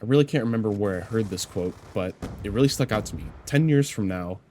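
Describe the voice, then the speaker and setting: A man's voice: on the low side, animated, fast, darkish tone, a little hiss or hum. A man of twenty-four who is a little sick and congested, talking earnestly and reflectively in a personal vlog, rambling with lots of "you know" fillers. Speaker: YouTuber.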